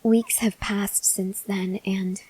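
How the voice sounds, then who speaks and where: A woman playing a weak, starving female vampire researcher in an ASMR roleplay, speaking softly and haltingly, often dropping to a whisper. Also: medium pitch, flat delivery, husky, rough recording. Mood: weary, desperate. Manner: slow, halting delivery with long pauses. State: weak, faint with hunger (acted). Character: starving female vampire researcher, weary, earnest, gently pleading. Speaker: voice actor. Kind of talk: ASMR roleplay, acted monologue to listener.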